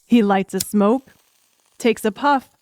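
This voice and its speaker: Higher-voiced woman narrating a dark audiobook. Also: takes it slowly, no room sound, poor audio. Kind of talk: scripted narration of a dark thriller.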